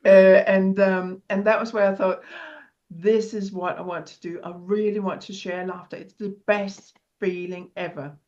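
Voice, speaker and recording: A middle-aged woman with a Danish accent chats as a podcast guest, breaking into laughter often as she tells her story. Her voice sits at an ordinary pitch, and the recording sounds a little dull and lo-fi. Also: little pitch movement, normal speed, a little mellow. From Denmark. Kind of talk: casual interview chat.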